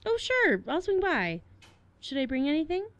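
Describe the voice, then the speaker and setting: A woman's voice: sits high, big pitch swings, moderate pace, a bit bright, dry recording. A woman playing a friendly, curious, easygoing small-town young woman in a radio play. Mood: cheerful, curious.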